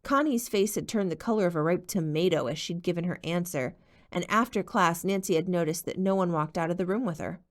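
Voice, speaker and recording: A woman's voice: lowish voice, brisk. A woman reading a novel aloud as an audiobook narrator, telling the story expressively. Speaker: audiobook reader.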